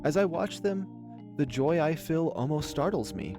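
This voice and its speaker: A man reading expressive first-person narration from a visual novel, with music behind him. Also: fairly high pitch, moderate pace.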